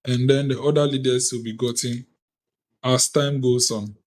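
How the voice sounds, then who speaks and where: A man from Ghana with a Ghanaian accent, convening an online youth parliament on a video call in a deliberate, formal way. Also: medium pitch, fairly even intonation, a little mellow. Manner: deliberate, measured, reads out lists of names. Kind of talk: formal ceremonial address.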